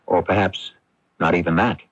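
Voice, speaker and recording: A man's voice: low voice, steady tone, normal speed, slightly rough. A man hosting a radio drama, delivering theatrical scripted narration with a rhetorical delivery. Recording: muffled vintage radio broadcast.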